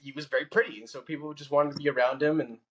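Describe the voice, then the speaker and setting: A man's voice: on the high side, steady tone, rapid-fire, a bit bright, husky, poor audio. A man co-hosting a casual podcast, joking and slangy, laughing often as he chats with his guest. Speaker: podcast co-host. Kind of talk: casual chat.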